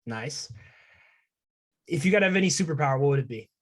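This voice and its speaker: A man's voice: fairly high pitch, lively intonation, fairly quick, fairly bright tone. A young man interviewing a guest as a student podcast host, in a casual conversational style. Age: young adult.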